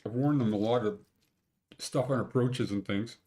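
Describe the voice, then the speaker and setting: A man's voice: medium pitch, moderate pace, mellow. Older canadian man, rambling, blunt and jokey, casual screen-share talk, small home room, desk webcam mic. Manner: rambling, blunt, joking, sometimes crude. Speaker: mountain conditions show host.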